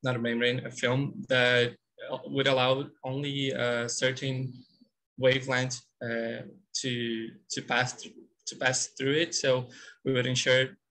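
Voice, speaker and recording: Even-toned Brazilian man answering judges. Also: mid-range voice, unhurried. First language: Portuguese. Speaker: student team member.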